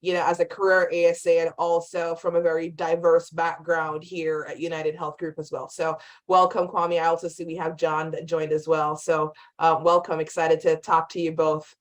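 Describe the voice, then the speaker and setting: Jamaican woman, lowish voice, friendly panelist. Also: flat delivery, normal speed, few pauses. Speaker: actuarial vice president at a career fair booth. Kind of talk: online career fair panel, casual introduction.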